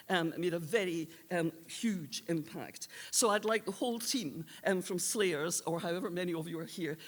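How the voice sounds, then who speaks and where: A woman presenting awards in an unscripted, halting, long-winded and earnest way. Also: fairly low pitch. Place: indoor awards hall. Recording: reverberant hall.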